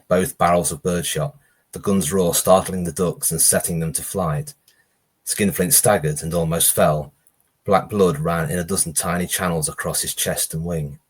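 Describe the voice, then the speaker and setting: Deep, husky-voiced man reading horror fiction. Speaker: author reading own short story.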